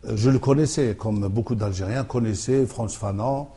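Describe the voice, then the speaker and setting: A man being interviewed about his books, speaking in a halting way with many restarts and fillers as he thinks aloud. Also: fairly low pitch, warm tone, breathy edge. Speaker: author, interview guest. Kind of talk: hesitant conversational interview.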